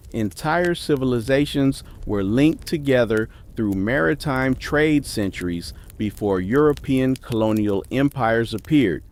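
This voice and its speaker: A man reading scripted documentary narration in a measured, emphatic way. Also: medium pitch, a little mellow. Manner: measured, emphatic list delivery.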